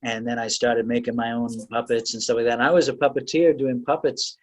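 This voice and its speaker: A man's voice: medium pitch, flat delivery, brisk. A man hosting a podcast, talking in a loose, rambling way with frequent laughs. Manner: rambling, laughs often, many fillers. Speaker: podcast host. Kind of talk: casual video-call interview.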